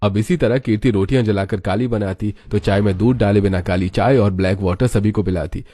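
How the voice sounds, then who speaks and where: Low-pitched man narrating a cartoon. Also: brisk, warm tone, no room sound, clean studio sound. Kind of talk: scripted cartoon story narration.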